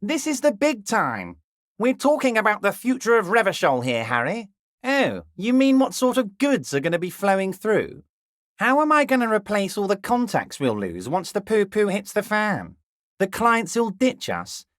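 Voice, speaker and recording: An actor voicing a jovial, scheming middle-aged union boss in a video game, smooth and ingratiating, dropping low and soft on pet names, crude at times. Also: male voice, sing-song, normal speed, no room sound, clean studio sound. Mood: smug, ingratiating. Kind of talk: video game character dialogue.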